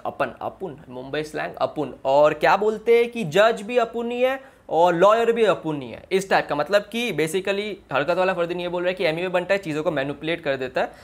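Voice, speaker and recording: A man giving casual reaction commentary and explanations, mixing slangy Hindi with English phrases. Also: high-pitched. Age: 20s. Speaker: music reaction video host. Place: small indoor room.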